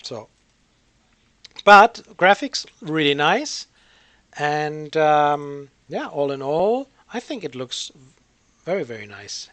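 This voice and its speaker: A man's voice: a little high, big pitch swings, takes it slowly, long pauses, bright, forceful tone, no room sound. A man giving casual gameplay commentary as the host of a gaming channel, hesitant and rambling as he thinks aloud.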